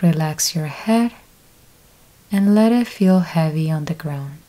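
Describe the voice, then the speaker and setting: A woman guides a deep-rest meditation, speaking very slowly with long pauses between short instructions. Her voice is low-pitched and mellow, with a relaxed, unhurried delivery throughout. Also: low-quality recording. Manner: slow, calm step-by-step instructions with long pauses. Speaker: yoga nidra teacher.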